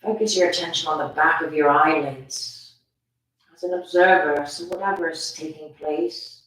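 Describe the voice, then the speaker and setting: A meditation teacher guiding listeners gently and soothingly, with drawn-out phrases and pauses. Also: mid-range voice, fairly even intonation. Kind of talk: guided meditation instruction. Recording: very echoey room, distant from mic.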